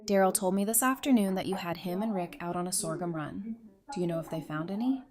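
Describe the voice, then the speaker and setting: A woman's voice: on the low side, fast, crowd noise, close to an everyday voice. A woman as a playful, teasing young survivor. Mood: casual, fond.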